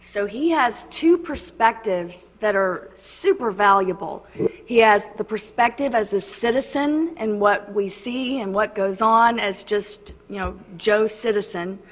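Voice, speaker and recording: A woman on a city council, slightly hoarse, earnestly making her case point by point at a meeting. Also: medium pitch, unhurried. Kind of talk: formal remarks at a council meeting. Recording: long reverb of a council chamber.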